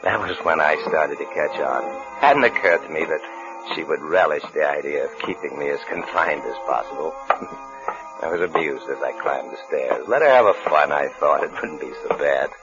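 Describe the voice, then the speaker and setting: Wry fugitive husband, very low voice, American accent, measured delivery, muffled vintage radio drama recording. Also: male voice, animated, music in the background. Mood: wry, reflective. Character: cool, wryly scheming husband and fugitive killer, narrating. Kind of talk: 1950s radio suspense acting with narration.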